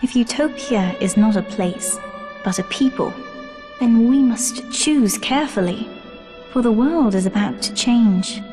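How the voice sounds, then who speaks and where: Slow, solemn, devoted daughter. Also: female voice, a little high, expressive. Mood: solemn, tender. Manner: measured, solemn, deliberate. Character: solemn, devoted young woman narrating her destiny. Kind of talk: dramatic game cutscene monologue. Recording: long reverberant hall sound. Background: loud string music.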